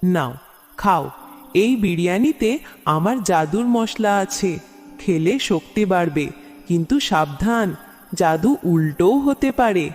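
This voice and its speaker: Cartoon witch, male actor: high-pitched, taunting and cackling, theatrical menace, very echoing hall-like reverb. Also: animated, darkish tone. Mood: gloating amusement. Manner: taunting, theatrical, drawn-out laughs. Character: menacing cackling witch who runs a magical biryani shop. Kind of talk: children's cartoon voice acting. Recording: long reverb, music behind.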